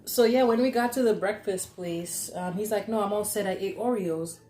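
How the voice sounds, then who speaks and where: A young adult woman telling stories casually and conversationally, animated and giggly, acting out what people said. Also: medium pitch, normal speed, slight background noise. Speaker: podcast host telling stories. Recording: echoey, roomy sound.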